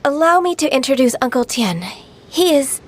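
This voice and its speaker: A woman voicing a gentle, polite young secretary in a video game, soft-spoken and formal. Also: high voice, moderate pace, a little hiss or hum, natural voice.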